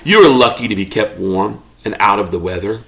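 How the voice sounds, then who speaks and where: A man reading a children's story aloud expressively, as a parent does to a child, pausing to ask questions. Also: fairly low pitch, animated, gravelly, a bit bright. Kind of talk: expressive children's read-aloud, narrating as a pet dog.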